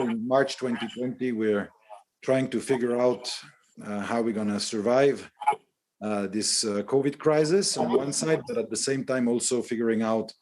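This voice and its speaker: A man introducing himself as an airline manager on a panel, halting and often repeating words. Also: lowish voice, a little mellow, a little hiss or hum, rough recording. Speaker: panelist, airline regional head.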